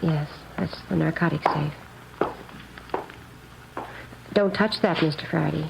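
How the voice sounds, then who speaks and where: An actress playing an earnest, naive and very proper nun in a 1950s radio drama, speaking in careful, precise phrases. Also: female voice, deep voice, normal speed, gravelly. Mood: prim, fussy. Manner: prim, proper, carefully enunciated. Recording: dull old radio recording, faint noise.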